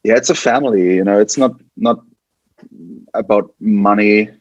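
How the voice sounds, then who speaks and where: A man's voice: on the low side, moderate pace, no echo, lo-fi sound. A man from West Germany with a German accent, chatting casually as an interview guest and often repeating and restarting his words. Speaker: podcast guest, band guitarist.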